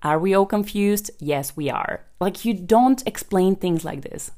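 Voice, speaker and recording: A woman's voice: medium pitch, expressive, measured pace, warm tone. A young woman from Bulgaria, a Bulgarian native speaker, hosting her own podcast and explaining a method in a chatty, conversational way with frequent ums. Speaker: podcast host and business coach.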